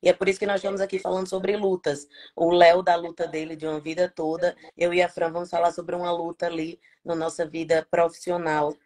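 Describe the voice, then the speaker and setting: A woman's voice: fairly low pitch, little pitch movement, fast, few pauses, darkish tone. A woman lawyer with a northeastern Brazilian accent, speaking with heartfelt emphasis as a guest on a video call. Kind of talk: casual live-stream talk.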